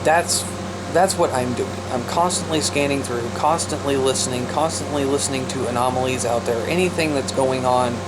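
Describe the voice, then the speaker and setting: A man's voice: medium pitch, normal speed. A man talking casually to viewers as a radio hobbyist vlog host, often repeating himself for emphasis. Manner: repetitive, emphatic, addresses listeners as guys. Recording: loud background noise and radio hiss.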